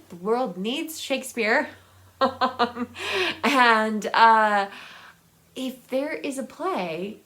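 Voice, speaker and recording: A woman's voice: mid-range voice, a bit bright. A woman chatting casually and enthusiastically about books as a vlog host, in a rambling, giggly, unscripted way. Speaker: book vlogger and host. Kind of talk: casual unscripted book chat.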